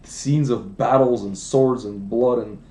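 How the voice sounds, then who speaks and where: Man, late thirties, casual storytelling, animated and rambling, mellow tone, echoey room. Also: mid-range voice, unhurried. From United States. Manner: rambling, animated, with frequent restarts and asides. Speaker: wine business founder, podcast guest. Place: indoor room, livestream podcast.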